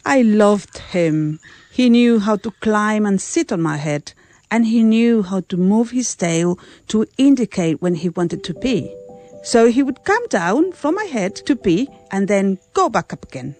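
A woman's voice: mid-range voice, animated, measured pace, a little mellow, soft background music. A woman reading a first-person translated account as a voice-over.

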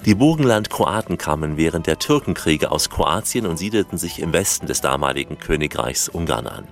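A man narrating a documentary and putting clear, measured questions to his interviewees. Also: extremely low pitch, lively intonation.

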